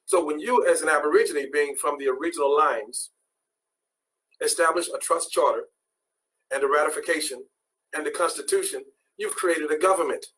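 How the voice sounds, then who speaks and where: A man's voice: on the high side, moderate pace, fairly bright tone, low-quality recording. A man who is a guest on a talk show, explaining his views in an assertive, lecturing way.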